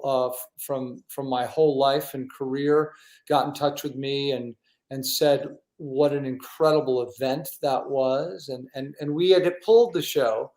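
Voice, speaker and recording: A man hosting a casual talk show, speaking in an earnest, effusive and halting way in an echoey room. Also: mid-range voice, a little mellow. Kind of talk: casual interview chat. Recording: roomy, echoing sound.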